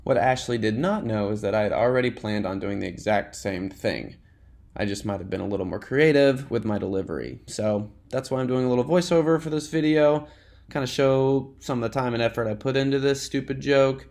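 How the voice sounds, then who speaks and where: A man's voice: mid-range voice, brisk, vocal fry, a little mellow, soft background music. A young man narrating his vlog and teasing his girlfriend in casual banter. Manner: teasing, joking. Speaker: vlogger.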